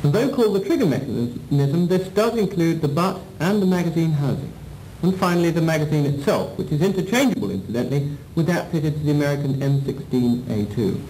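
A man with a slightly high voice narrates a practical demonstration as an instructor. He is formal and methodical, going step by step. The sound is a roomy old videotape copy with faint noise. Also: lively intonation, a little fast, a little mellow. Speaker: weapons instructor.

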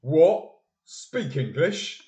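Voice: medium pitch, sing-song, drawn-out words, warm tone. An actor playing a dim, mocking sidekick bully in an audio play. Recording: echoey room.

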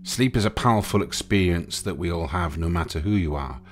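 A man narrating a guided sleep meditation in a soothing, gentle, unhurried way, leaving pauses between short phrases. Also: deep voice, lively intonation. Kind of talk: guided sleep meditation, hypnotic relaxation countdown. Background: music.

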